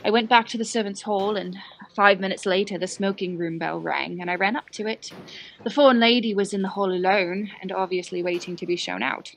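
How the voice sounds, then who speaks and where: An actress playing a naive, chatty young parlour maid in a radio play, gossipy and a little aggrieved. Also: female voice, mid-range voice, steady tone, brisk, vocal fry, rough recording. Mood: eager recounting. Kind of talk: dramatic radio-play acting.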